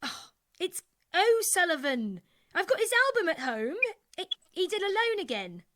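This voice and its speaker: Naive, cheerful, slow-witted female pub regular, played by a woman, very high bright voice, for radio comedy. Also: very animated, exaggerated voice. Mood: puzzled, confident.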